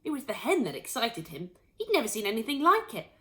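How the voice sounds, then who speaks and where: A woman narrates a children's storybook in a highly animated way. Her voice is fairly high and bright, swooping widely in pitch. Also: quick pace. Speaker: story reader.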